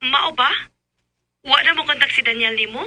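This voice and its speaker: A young Cebuano Filipino confidante in a radio drama. Her voice is high and extremely bright and forceful. She comes across as supportive and frank. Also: moderate pace, faint music behind, full character voice. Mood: reassuring.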